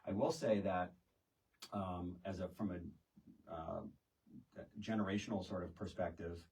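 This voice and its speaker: A middle-aged American man explaining things casually as a podcast guest, halting and wandering off into asides. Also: low voice, steady tone, darkish tone. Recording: distant microphone.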